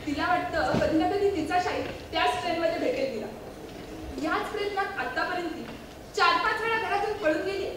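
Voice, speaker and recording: A woman's voice: very high voice, a little fast, noisy background. A woman playing a frank, practical young city woman in a stage play, speaking matter-of-factly. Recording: echoey stage, distant mic, loud music.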